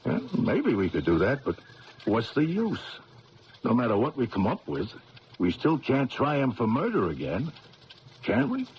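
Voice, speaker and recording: An actor playing a world-weary, skeptical police lieutenant in a radio drama, plain-spoken and questioning but deferential. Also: male voice, medium pitch, wildly swooping pitch, unhurried. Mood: doubtful. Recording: muffled old radio recording with hiss.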